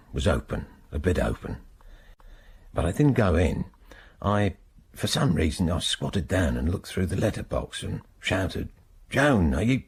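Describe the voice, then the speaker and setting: An actor playing a haunted, middle-aged working-class man with an English accent and a husky, halting delivery in a naturalistic radio drama. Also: sits low, full character voice. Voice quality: husky, gravelly. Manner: halting, plain-spoken, working-class idiom.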